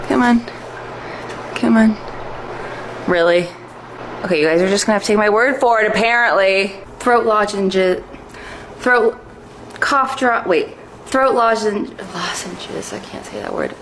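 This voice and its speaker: A young adult woman, a comedy skit creator, talking in a chatty, expressive way. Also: mid-range voice, measured pace, pauses often, slight background noise, rough recording. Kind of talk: sketch comedy and casual chat.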